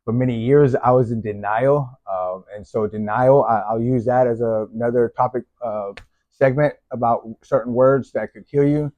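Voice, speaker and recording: A man's voice: mid-range voice, fairly even intonation, normal speed, no echo. A middle-aged man from Texas, vlogging in a rambling, casual way with many fillers and restarts. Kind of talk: casual faith-based vlog intro. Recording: dull, dry lo-fi sound.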